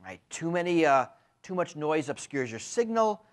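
Man, 50s, university lecturer; animated, rising and falling delivery, peppers points with tag questions; lapel mic. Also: a little high, normal speed. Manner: frequent tag questions, lively emphatic delivery. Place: lecture room with window blinds.

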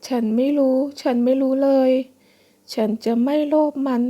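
A woman plays a graceful older sister in a comic read-aloud. Her voice is fairly high yet mellow, composed and gentle, with a protective temper. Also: flat delivery, moderate pace. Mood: apologetic.

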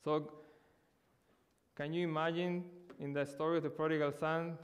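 A man preaching a sermon in earnest, slowing down to stress key words. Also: fairly high pitch, little pitch movement, long reverb tail. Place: church hall.

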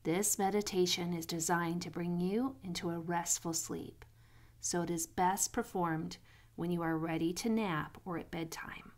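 A woman guiding a sleep meditation in a soothing, unhurried manner, pausing between phrases, with soft, breathy phrase endings. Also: lowish voice, steady tone. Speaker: meditation guide.

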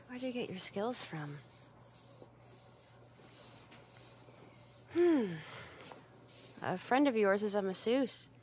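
A woman's voice: a little high, lively intonation, slow pace, a little mellow, slight background noise. A woman playing a flustered, easily embarrassed tsundere roommate in an ASMR roleplay, stammering and defensive but secretly fond. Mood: softened, awkward. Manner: stammering, defensive, huffy, hesitant.

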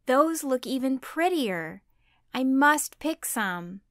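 A sweet, trusting little girl from a fairy-tale puppet show, voiced by a woman. She speaks high and slowly, with polite, sing-song innocence that swoops up and down. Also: expressive, no room sound, studio quality. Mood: sweet, innocent.

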